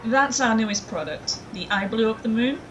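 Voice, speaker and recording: A woman's voice: on the high side, normal speed, lo-fi sound, over music, lightly acted. A woman playing a perky, polite young shop assistant in a comic dub. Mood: perky salesmanship.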